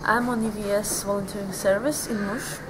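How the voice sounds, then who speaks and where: A young woman from Lithuania, a volunteer, answering interview questions thoughtfully with pauses, outdoors in a garden. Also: mid-range voice, steady tone. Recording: outdoor noise.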